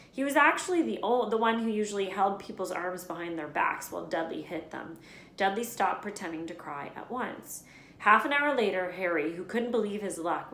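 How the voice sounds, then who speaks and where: A woman reading a children's story aloud in an expressive narrating style. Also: on the low side, a little hiss or hum. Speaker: read-aloud storyteller, teacher. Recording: echoey room.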